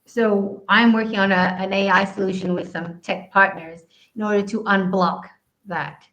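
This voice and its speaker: A woman's voice: mid-range voice, fairly even intonation, moderate pace, fairly bright tone, highs cut off. A woman from Southeast Asia, a guest answering interview questions in an earnest way, explaining at length with frequent ums.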